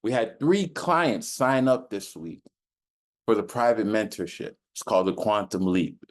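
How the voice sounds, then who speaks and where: A man's voice: lowish voice, expressive, a little slow. A man speaking off the cuff like a self-improvement coach, casual and slangy, repeating phrases for emphasis and often checking in with the listener. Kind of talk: casual off-the-cuff motivational talk.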